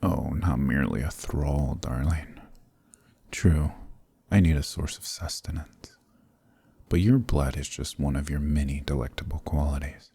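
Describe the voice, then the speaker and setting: Sadistic vampire lord, ASMR roleplay: possessive, silky menace, extremely breathy and husky, dark-toned. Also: male voice, rumbling low, fairly even intonation, no echo, full character voice. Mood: seductive, possessive. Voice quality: breathy, gravelly. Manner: slow, menacing, mockingly tender purr. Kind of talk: ASMR roleplay audio drama.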